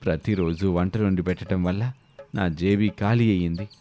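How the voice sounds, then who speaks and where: Man acting a low-voiced, put-upon villager. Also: fairly even intonation, moderate pace, dark tone, soft background music, natural voice. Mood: worried grumbling. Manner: colloquial, complaining. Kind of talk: animated moral-story dialogue.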